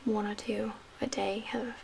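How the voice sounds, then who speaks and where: A woman playing a calm, guarded survivor leader in a soft-spoken ASMR roleplay, half-whispering in a measured, reassuring way with pauses. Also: mid-range voice, gravelly. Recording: audible background noise.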